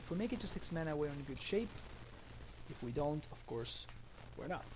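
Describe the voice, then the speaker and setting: A man's voice: on the high side, animated, moderate pace, background noise. A man in his thirties from Argentina, streaming and commenting casually on his card game as he plays, thinking aloud with many fillers, on a headset in a small room.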